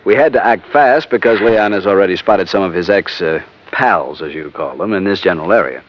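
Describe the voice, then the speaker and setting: An actor playing a polite, businesslike FBI agent in a 1950s radio drama, speaking precisely and formally. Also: male voice, low-pitched, a little fast, a little hiss or hum, close to an everyday voice. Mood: matter-of-fact. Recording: muffled old radio recording.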